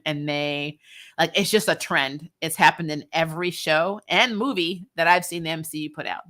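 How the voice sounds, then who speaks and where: Bright-voiced woman chatting bluntly, quick to laugh. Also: fairly low pitch. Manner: opinionated, blunt, laughs often, salty language. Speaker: host of a comics and TV recap show. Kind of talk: casual chat, reviewing superhero shows and answering viewer comments.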